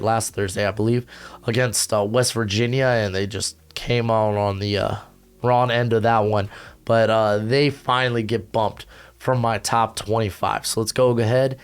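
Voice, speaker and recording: A young male host talks through college football rankings in quick, slangy bursts, full of fillers. His voice is hoarse, yet it stays fairly clear under the strain. He speaks at a mid pitch, recording at home. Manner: energetic, slangy, rapid asides with frequent fillers. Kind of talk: casual rankings commentary to camera. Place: home recording setup with a humidifier.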